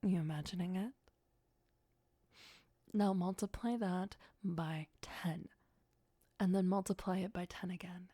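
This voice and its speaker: A woman playing a possessive, sweetly obsessive vampire girlfriend in an ASMR roleplay, mostly whispering in a breathy, intimate, doting way. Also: lowish voice, measured pace, pauses often, dark tone, dry recording. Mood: delighted, greedy.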